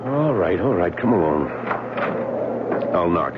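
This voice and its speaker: An actor playing an earnest, loyal gentleman husband in a radio drama, often stammering as he talks. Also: deep voice, sing-song, unhurried. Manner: earnest, flustered, stammering restarts. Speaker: husband investigating a mystery. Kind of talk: 1970s radio drama acting. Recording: muffled, hissy old radio broadcast.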